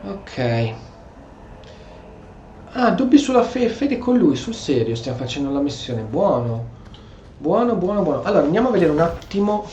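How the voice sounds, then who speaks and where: A man's voice: fairly high pitch, moderate pace, pauses often, reverberant, muffled recording, slight background noise. A man giving casual, reactive commentary as he plays a video game. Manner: animated, reactive. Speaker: gameplay streamer.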